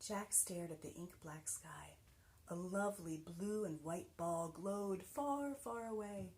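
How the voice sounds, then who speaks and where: A woman teacher reading a children's book aloud with expressive, animated delivery and soft whispered passages. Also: fairly low pitch, slow.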